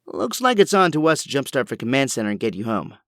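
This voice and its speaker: A man's voice: mid-range voice, sing-song, fast, a bit bright, no room sound, clean studio sound. A man playing an earnest, brainy young inventor hero in an audio drama, with precise, technical, polite speech. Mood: focused, friendly.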